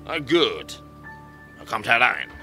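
Actor as a gravelly, piercing, scheming gang boss. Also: male voice, mid-range voice, sing-song, slow pace, bright, forceful tone, soft background music, exaggerated voice. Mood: welcoming. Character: hot-tempered, greedy gang leader, scheming and menacing. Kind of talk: audio drama acting.

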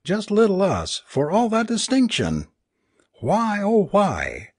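A distraught woman acted out by a male narrator declaims in a raised, swooping voice with bitter theatrical sarcasm. It is an audiobook drama voice, husky and overwrought. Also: high voice, huge pitch swings, slow, no echo, studio quality, voice pushed up, heavily acted. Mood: anguished, raving.

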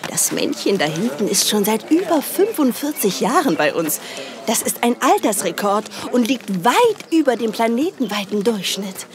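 A woman playing a proud, chirpy hen who runs a farm and boasts like a tour guide in a comic radio play. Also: mid-range voice. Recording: noisy sound-effect ambience behind.

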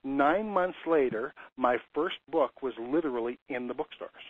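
A man's voice: mid-range voice, moderate pace, slightly rough. Man in his fifties on a tinny phone line. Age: 50s. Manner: earnest, storytelling, frequent restarts and repeated words. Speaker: lease consultant presenting a webinar. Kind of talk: conversational webinar presentation.

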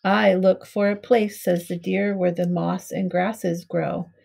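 A middle-aged woman reading a picture book aloud to young children in simple, measured storybook phrasing. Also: lowish voice, level tone, gravelly, darkish tone. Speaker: preschool teacher reading aloud. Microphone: webcam at arm's length.

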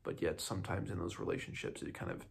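A man's voice: deep voice, steady tone, quick pace, creaky, husky. A man in his twenties giving a casual, unscripted book review to camera in a small home room, thinking aloud hesitantly and often restarting his sentences. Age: 20s.

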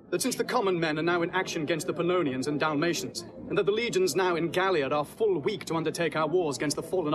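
An actor playing a formal Roman senator, proclaiming orders in a declamatory Shakespearean style. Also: male voice, sits high, close to an everyday voice. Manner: declamatory, official. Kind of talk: theatrical Shakespearean acting. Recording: outdoor crowd noise behind.

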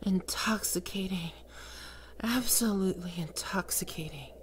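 A woman voicing a seductive, domineering female demon queen of lust in an ASMR roleplay, speaking slowly and sultrily, often in a whisper. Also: mid-range voice, a little hiss or hum, close to an everyday voice. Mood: possessive.

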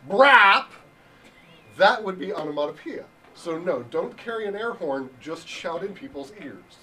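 A young man giving an improvised mock lecture in a deadpan, halting way, full of ums, in a hotel function room. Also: on the high side. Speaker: improv presenter. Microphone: far room camera. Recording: distant voice with room hiss.

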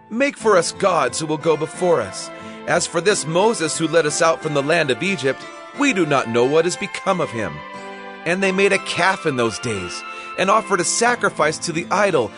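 An actor playing a fervent, defiant preacher and martyr in a dramatized scripture reading, declamatory and impassioned. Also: male voice, medium pitch, natural voice.

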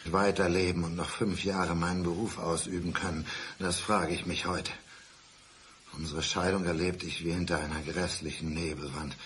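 An actor in a German radio crime drama playing a bitter abandoned ex-husband, mock-polite, deliberate and accusing, set on revenge. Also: male voice, low-pitched, little pitch movement, fairly bright tone. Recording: roomy studio sound, faint noise.

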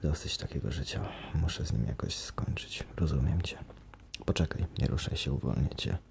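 A man voicing a menacing, unhinged male monster who speaks in a low, brooding, coldly tender way. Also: normal speed, vocal fry, darkish tone, whispered, heavily acted. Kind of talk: audiobook character voice.

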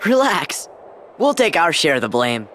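A man's voice: on the high side, big pitch swings, moderate pace, fairly bright tone, slight background noise. A young-sounding man playing a friendly teenage boy hero in a video game, informal, earnest and a little hesitant. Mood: reassuring, casual.

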